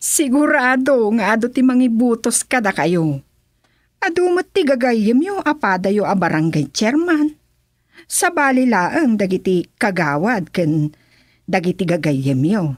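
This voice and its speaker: A woman's voice: a little high, very animated, measured pace, no echo, clean studio sound. An actress playing a woman who sweet-talks a village chairman in a sing-song, coaxing way in a radio drama. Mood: flattering. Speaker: supporter flattering the chairman.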